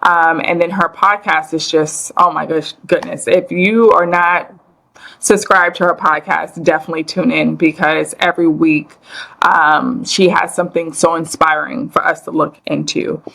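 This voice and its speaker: A woman's voice: low-pitched, steady tone, moderate pace, gravelly, fairly bright tone. A young woman co-hosting a podcast, giving upbeat, effusive introductions in a casual way. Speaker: podcast co-host.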